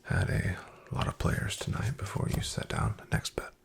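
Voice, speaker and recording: A man playing a shady, guarded back-alley card dealer in a soft-spoken ASMR roleplay, half-whispering his clipped, unhurried patter with a gravelly creak. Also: noisy background, natural voice. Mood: guarded, confiding.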